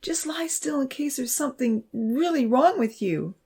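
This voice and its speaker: A woman's voice: lively intonation, moderate pace, warm tone, slightly rough, heavily acted. A woman voicing a frightened teenage girl who pleads urgently through tears. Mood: desperate. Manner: high, urgent and sobbing.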